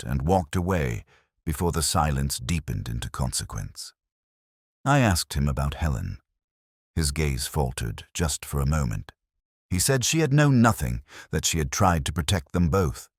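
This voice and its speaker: A man narrating a mystery drama as a brooding, reflective detective inspector, in a measured, solemn and literary delivery. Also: a bass voice, big pitch swings, no room sound, clean studio sound, heavily acted. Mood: reflective, sombre. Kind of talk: scripted first-person mystery narration.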